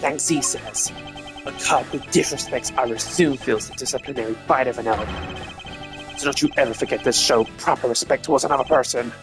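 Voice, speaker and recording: A man's voice: medium pitch, a little fast, gravelly, over music, close to an everyday voice. Man doing a brash, scornful Interpol agent. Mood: lecturing. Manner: swaggering, confrontational, slangy.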